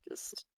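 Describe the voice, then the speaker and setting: A woman playing a tabletop game who speaks softly and hesitantly, with small giggles. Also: no echo, studio quality. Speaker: player. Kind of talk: casual in-character chat in a tabletop game.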